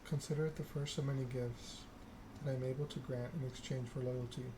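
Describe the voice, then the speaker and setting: A man's voice: mid-range voice, fairly even intonation, a little fast, lightly acted. A man voicing a composed, courteous female vampire sire in a story game, over noisy ambience. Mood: composed, hospitable. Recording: clearly noisy background ambience.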